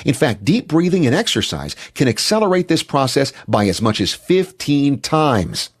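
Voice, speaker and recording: A man's voice: mid-range voice, very animated, moderate pace, husky. A man giving a motivational health talk in an emphatic, declarative manner.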